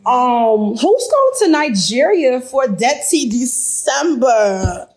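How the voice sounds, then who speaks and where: A woman hosting a relaxed group chat, her voice hoarse and worn from illness. She talks with lively energy and circles back over her own phrases. Her pitch sits on the higher side. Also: slow. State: hoarse, lost voice. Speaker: host. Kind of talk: casual chat.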